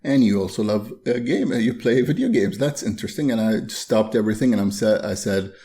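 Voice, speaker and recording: A man from Bahrain hosting a podcast, asking questions in a relaxed, friendly chat. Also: lowish voice, brisk. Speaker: podcast host. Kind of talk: casual interview chat.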